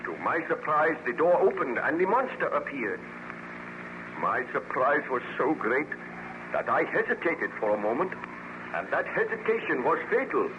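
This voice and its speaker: Haunted nobleman, formal and intense, slightly high pitch, vintage dull-sounding radio drama. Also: big pitch swings, normal speed. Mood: grave recounting. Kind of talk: 1930s-40s radio serial acting. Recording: noisy muffled old radio recording.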